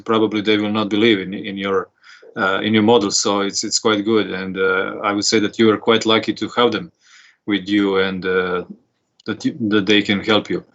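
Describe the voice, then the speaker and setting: Male academic examiner, fairly low pitch, tentative and polite delivery, dull online-call audio. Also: fairly bright tone. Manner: tentative, restarting, courteous. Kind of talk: spontaneous formal questions and comments. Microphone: video call.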